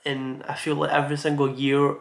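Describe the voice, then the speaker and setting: A man's voice: on the high side, monotone, brisk, gravelly, roomy sound. A young man around eighteen recording an earnest, self-reflective birthday vlog, rambling with lots of "like"s. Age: young adult, about 18 to 19. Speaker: vlogger. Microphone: camera mic.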